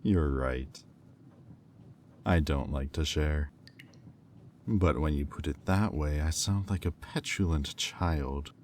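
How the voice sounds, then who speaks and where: A man voices a haughty demon with an extremely low voice and a slow, deliberate delivery. He is sardonic and condescending, in the manner of an audio roleplay villain, over faint background noise. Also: a little mellow. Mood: smug, intrigued. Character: haughty, sardonic male demon bidder at auction.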